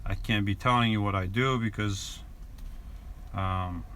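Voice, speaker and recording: Man, 40s, New York accent, unhurried chat, many fillers, noisy van. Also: fairly low pitch, steady tone, a bit bright. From New York and New Jersey. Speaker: vlogger. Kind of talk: live stream, reading viewer questions. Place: inside a van. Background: inverter hum.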